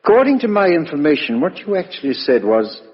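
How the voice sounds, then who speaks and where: An actor playing a genial, probing British chief inspector in a 1950s radio drama, colloquial and businesslike. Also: male voice, fairly high pitch, very animated, a little fast. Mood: politely probing. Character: brisk, genial but probing male police chief inspector. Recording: muffled, reverberant vintage radio recording.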